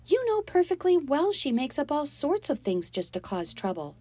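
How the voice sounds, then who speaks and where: A woman's voice: high voice, big pitch swings, moderate pace, mellow, pitched above the performer's own voice. A woman voicing an upset, protective mother who pleads indignantly in an audiobook story. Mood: exasperated. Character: upset, protective mother defending her children.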